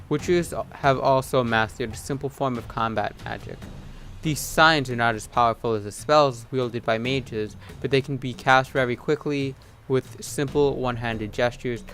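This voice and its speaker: A man hosting a gaming video with casual, low-key commentary, at times reading game text aloud. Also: fairly bright tone, a little hiss or hum. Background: game music.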